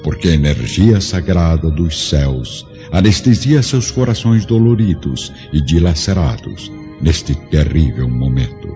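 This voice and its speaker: A man's voice: rumbling low, big pitch swings, measured pace, hardly any pauses, dark tone. A man narrating a radio play in a solemn, dramatic way. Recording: dull audio with long reverb and music.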